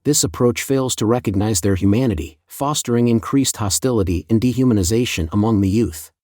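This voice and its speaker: A man narrating scripted news in a formal, measured, read-aloud manner. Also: on the low side, darkish tone, no echo, studio quality.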